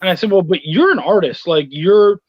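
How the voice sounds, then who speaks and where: A man with a high voice talks casually as a guest, telling stories and replaying conversations. The sound is dry and a little muffled, like an online call. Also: animated, a little fast, no echo. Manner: anecdotal, acting out quoted exchanges. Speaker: guest, freelance comics writer and editor. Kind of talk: casual interview chat.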